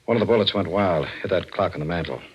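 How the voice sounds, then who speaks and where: Man acting deep, curt radio homicide detective. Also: quick pace, slight background noise, natural voice. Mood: brisk, probing. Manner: curt, commanding, hard-boiled. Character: tough, blunt homicide detective sergeant. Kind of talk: 1940s radio mystery acting. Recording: muffled old-time radio recording.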